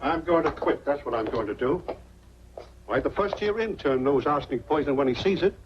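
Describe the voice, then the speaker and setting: A man's voice: on the high side, lively intonation, brisk, close to an everyday voice. A male actor playing a grumbling, self-reproaching doctor in a 1940s film. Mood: self-reproachful. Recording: dull, noisy old film soundtrack.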